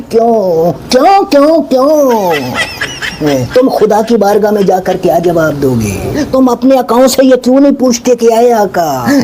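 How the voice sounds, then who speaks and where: A man's voice: very high voice, dark tone, a little hiss or hum, low-quality recording. A man from Pakistan delivering a fiery, mocking satirical political monologue full of repeated rhetorical questions. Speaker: political commentator.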